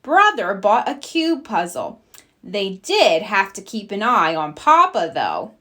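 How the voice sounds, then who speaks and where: A woman narrating a children's picture book in an expressive storytelling style. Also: medium pitch, slow.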